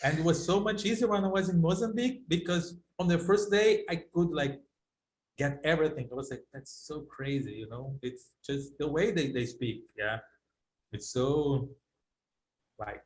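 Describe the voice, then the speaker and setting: A man's voice: fairly high pitch, darkish tone, rough recording. Brazilian man talking on a video call. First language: Portuguese. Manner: unhurried, searching for words, frequent "yeah". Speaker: guest. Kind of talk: casual discussion on a video call.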